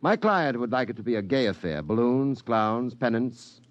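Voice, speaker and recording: An actor playing a secretive, smoothly formal client in a 1950s radio drama, speaking in a measured, cryptic way. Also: male voice, medium pitch, animated, lightly acted. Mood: suave, guarded. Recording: dull, dry old radio recording.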